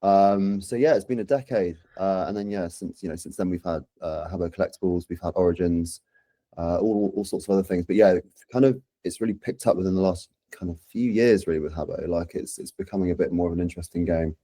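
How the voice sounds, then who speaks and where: London-accented man, thirties, low pitch, soft dark tone, casual podcast guest. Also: fairly even intonation, fast, no room sound. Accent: London English. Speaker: podcast guest, social media and community manager. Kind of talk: casual chat.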